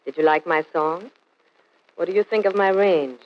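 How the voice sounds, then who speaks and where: Low sultry saloon songstress played by a woman. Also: moderate pace, close to an everyday voice. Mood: sultry, flirtatious. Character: sultry Marlene Dietrich-style woman saloon singer, flirtatious. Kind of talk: 1940s radio comedy acting. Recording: muffled, very noisy 1940s radio recording.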